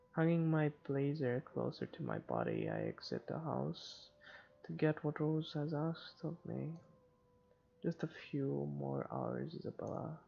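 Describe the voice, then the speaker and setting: Gravelly man reading game narration. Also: mid-range voice, darkish tone, lo-fi sound. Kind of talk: reading visual novel narration aloud. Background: faint game music.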